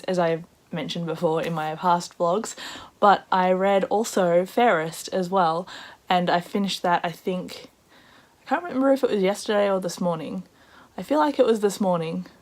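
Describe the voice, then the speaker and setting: Croaky-voiced chatty woman vlogger. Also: lowish voice. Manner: chatty, excitable, quick asides. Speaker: book vlogger. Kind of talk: casual vlog chat.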